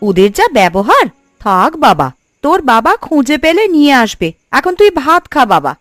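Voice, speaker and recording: A woman voicing a supportive but sharp-tongued village wife and mother in a cartoon, talking in a homely, colloquial way. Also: sits high, very animated, normal speed, no room sound, clean studio sound, soft background music. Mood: indignant. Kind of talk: Bengali cartoon story dubbing.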